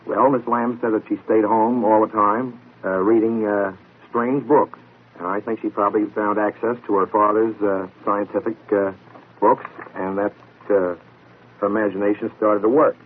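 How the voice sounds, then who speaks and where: A man giving a hesitant, pause-filled answer as a guest armchair detective on a radio show. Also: on the low side, flat delivery. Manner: halting, hesitant, many pauses. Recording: muffled, noisy vintage radio broadcast.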